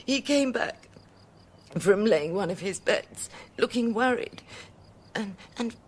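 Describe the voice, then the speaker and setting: An actress with a British accent playing a timid, tender middle-aged French widow in a radio drama, soft, breathy and hesitant. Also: female voice, a little high, animated, slow. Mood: grieving, tearful. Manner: hesitant, wistful.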